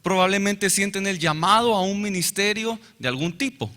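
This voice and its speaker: A man interpreting a Bible lecture into Spanish, following the speaker phrase by phrase in a brisk, fluent way. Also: high voice, bright, forceful tone. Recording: reverberant hall.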